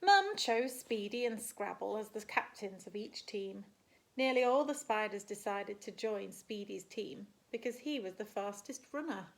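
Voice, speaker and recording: A woman's voice: mid-range voice, vocal fry. A woman reading a picture book aloud as a primary teacher would, expressively and with little asides to young listeners. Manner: animated, slow and deliberate storytelling, gasps and murmurs for effect.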